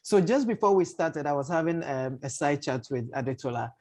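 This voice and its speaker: A man's voice: on the high side, lively intonation, brisk, darkish tone. A man hosting an online panel discussion, informal and chatty, rephrasing as he goes. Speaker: host, panel moderator. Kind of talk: casual chat, moderating an online discussion. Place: online video call.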